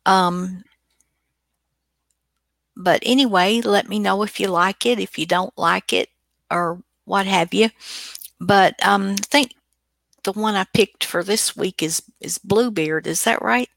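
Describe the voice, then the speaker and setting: A woman's voice: medium pitch, slow, creaky, pauses often, a bit bright. A woman, hosting and casually chatting as she introduces old radio dramas. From United States. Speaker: host.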